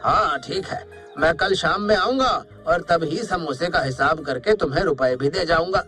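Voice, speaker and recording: A man's voice: a little high, normal speed, over music, natural voice. A man playing a wealthy, genial merchant customer in a cartoon story, talking in an assured, friendly way. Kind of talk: Hindi cartoon story acting.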